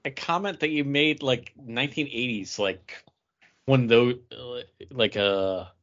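A man's voice: medium pitch, unhurried, a bit bright, dull audio. A man co-hosting a podcast, talking in a casual conversational style. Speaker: podcast co-host. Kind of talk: casual chat.

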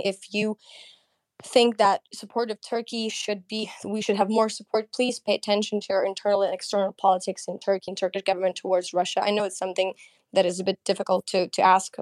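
A woman with a Ukrainian accent, a guest in an online audio chat, speaking earnestly and deliberately and restarting sentences. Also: mid-range voice, darkish tone, no room sound.

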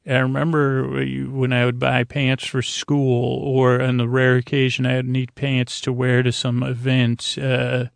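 A man with a creaky voice hosting a bedtime podcast, rambling in a halting, lulling and soothing way to help listeners sleep. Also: mid-range voice, no room sound. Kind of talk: rambling, meandering monologue for falling asleep.

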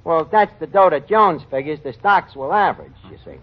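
Man acting a slangy, high-pitched New tavern manager. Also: expressive, brisk, natural voice. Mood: self-important. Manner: slangy, ungrammatical, mock-important. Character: scheming, malapropism-prone tavern manager, streetwise blusterer. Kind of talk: 1940s radio comedy acting. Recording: dull old 1940s radio recording.

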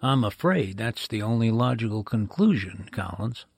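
An actor playing a measured, formal medical examiner who explains precisely, in a 1940s-style radio drama. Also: male voice, fairly low pitch, expressive, vocal fry, dry recording, clean studio sound. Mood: grave, courteous.

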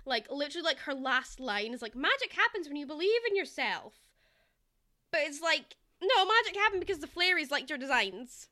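Bright, high-pitched young woman chatting. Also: very animated, fairly quick. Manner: critical, analytical, frequent filler "like". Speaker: podcast co-host. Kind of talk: casual movie discussion chat.

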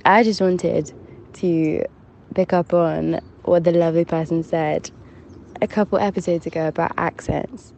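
Young woman caller, mixed English accent, lowish creaky voice, faint noise. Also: fairly even intonation, a little slow, a little mellow. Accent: mixed English, shifting between southern and northern. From Hampshire, England. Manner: chatty, giggly, self-deprecating. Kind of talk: voicemail to a podcast.

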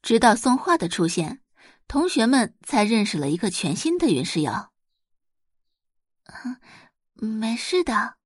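A woman's voice: medium pitch, big pitch swings, moderate pace, a little mellow, slightly rough, no echo, studio quality. A woman narrating a scripted audiobook story as its storyteller.

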